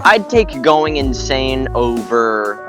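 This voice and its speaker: A man chatting casually on a group podcast, haltingly and often repeating his phrases. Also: mid-range voice, very animated, over music. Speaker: podcast panelist.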